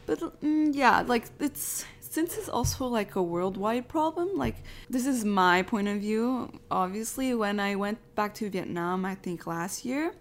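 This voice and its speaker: A woman's voice: mid-range voice, animated, unhurried, hardly any pauses. Earnest woman with frequent fillers. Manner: earnest, filler-heavy, searching for words. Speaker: youth group member. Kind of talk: casual discussion.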